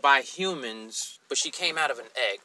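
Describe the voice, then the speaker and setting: A man's voice: medium pitch, lively intonation, moderate pace, sharp tone, rough recording. A man co-hosting a podcast, talking in a blunt, conversational, casual way. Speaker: podcast co-host.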